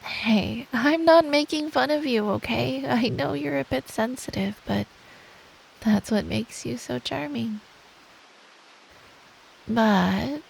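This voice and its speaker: Woman playing a soft, breathy, slow-talking girlfriend. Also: a little high, full character voice, rough recording. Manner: slow, affectionate, playfully teasing. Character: sweet, teasing, caring girlfriend telling an improvised bedtime story. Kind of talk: ASMR roleplay, intimate soft talk.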